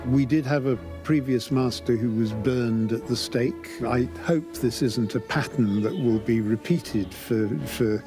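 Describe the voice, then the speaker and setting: A man's voice: mid-range voice, a little slow, few pauses. Academic man, college head, dry wit, easy interview tone, music behind. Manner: dry, self-deprecating humor. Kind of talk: relaxed interview.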